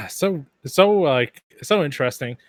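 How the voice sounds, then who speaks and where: A man's voice: a little high, animated, takes it slowly, no echo. Man in casual podcast banter, dry sound. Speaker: podcast panelist.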